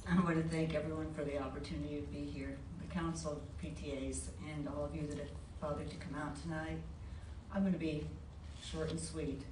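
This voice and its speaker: A woman's voice: sits low, little pitch movement, a little fast. A woman running for school board, making a short, plain-spoken campaign speech at a public forum. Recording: echoey boardroom from far off, faint noise.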